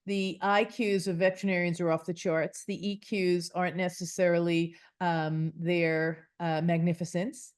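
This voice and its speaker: A woman's voice: lowish voice, level tone, normal speed, hardly any pauses, smooth. Chatty woman hosting an interview. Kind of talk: conversational interview.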